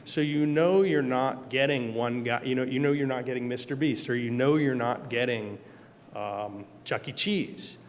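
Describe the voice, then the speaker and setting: A man's voice: medium pitch, moderate pace, in a large hall, a little hiss or hum. A man on a discussion panel, talking casually and telling anecdotes, laughing as he goes. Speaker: panelist, kitchen operator. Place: event hall.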